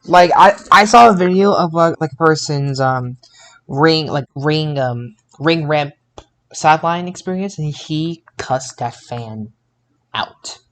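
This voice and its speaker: A man co-hosting a podcast, chatting casually about events in a halting way, often restarting mid-sentence. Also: fairly high pitch, a bit bright. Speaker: podcast co-host. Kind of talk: casual wrestling recap chat.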